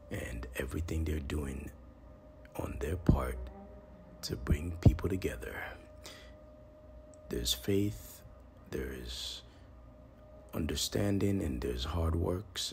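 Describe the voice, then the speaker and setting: A man hosting a podcast, giving a promotional monologue in a deliberate, portentous way with emphatic pauses. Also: a bass voice, gravelly. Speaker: podcast host. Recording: noticeable background noise.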